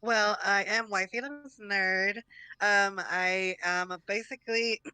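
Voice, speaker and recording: A woman from Miami introducing herself as a podcast co-host, relaxed and hesitant with many ums. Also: mid-range voice, slow, clear, resonant tone, no echo. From Miami, United States. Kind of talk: casual self-introduction.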